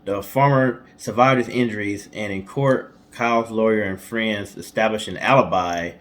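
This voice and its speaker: A man's voice: on the low side, fairly even intonation, normal speed, fairly bright tone. A man co-hosting a storytime show, telling a story in an informal, colloquial way with casual asides. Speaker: co-host of a storytime guessing-game show. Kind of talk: storytelling from notes with casual banter.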